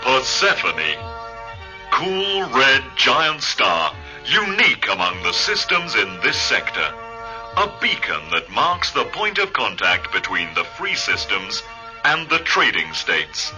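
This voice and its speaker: An actor playing a solemn narrator of a science fiction radio drama, speaking deliberately and portentously over music. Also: male voice, mid-range voice, huge pitch swings, poor audio. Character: solemn, measured male narrator of a space saga. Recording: music behind the voice.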